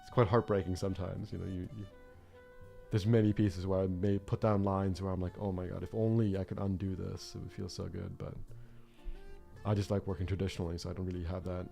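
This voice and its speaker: A man's voice: low-pitched, level tone, brisk, creaky, mellow, faint music behind. A young man from Norway chatting on a drawing livestream, rambling and reflective with many fillers and restarts. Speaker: art streamer. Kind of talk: casual chat while drawing on a livestream.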